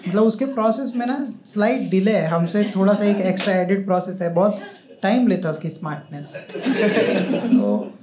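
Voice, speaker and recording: A man's voice: high-pitched, moderate pace. A young man performing a stand-up comedy set on a club stage, chatting and teasing the audience. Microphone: handheld mic on a stand. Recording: roomy live club sound with crowd noise. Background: audience laughter.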